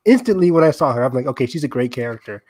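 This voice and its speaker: A man's voice: medium pitch, animated, very fast, a little mellow, no echo. A man co-hosting a podcast in casual, gushing fan discussion, speaking with open enthusiasm. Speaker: podcast co-host.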